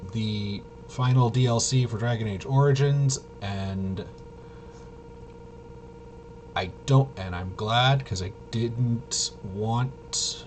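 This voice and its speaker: A man chatting casually as a livestream host, halting, often interrupting himself and trailing off. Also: mid-range voice, slight background noise. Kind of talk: casual rambling livestream chat.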